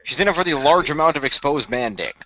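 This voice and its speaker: A man banters casually as a podcast co-host, dropping in teasing remarks. His delivery is quick, with lively swings in pitch. The sound is muffled and lo-fi. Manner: teasing interjections. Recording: muffled voice-chat audio.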